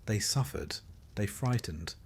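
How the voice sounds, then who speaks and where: A man reading a scripted sleep story as narrator, calm and measured, with dry wry asides. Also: on the low side, a little hiss or hum.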